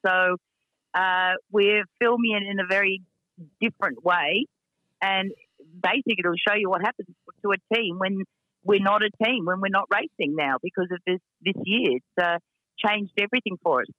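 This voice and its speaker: A woman with a deep voice who owns a racing team, talking frankly with lots of fillers in a radio interview. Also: no echo, muffled recording. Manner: frank, chatty, many fillers.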